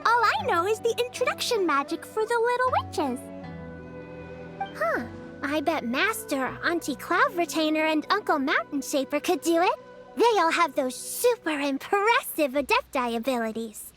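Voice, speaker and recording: A woman voicing a cute, excitable little girl companion in a fantasy video game, with a bubbly, childlike manner. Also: very high-pitched, moderate pace. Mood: chatty, thoughtful. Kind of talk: animated video game voice acting. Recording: game music under the voice.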